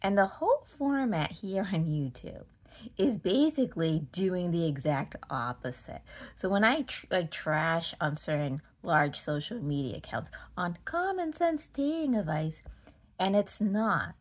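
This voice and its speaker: A woman's voice: on the low side, very animated, measured pace. A woman in her forties with a Boston accent, giving a casual, emphatic opinion rant to camera in a frustrated way. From Massachusetts, United States. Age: 40s. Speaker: vlogger. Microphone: phone at arm's length.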